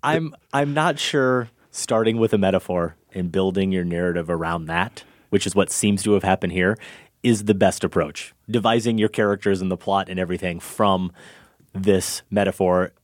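A man's voice: deep voice, normal speed, no echo. A man co-hosting a film review podcast, introducing films and giving dry, sardonic takes. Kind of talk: casual film review chat.

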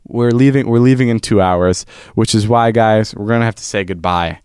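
A man's voice: on the low side, normal speed, a little mellow, smooth, no echo, clean studio sound. A young man co-hosting a casual podcast, telling stories with a joking manner and frequent restarts. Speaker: podcast co-host.

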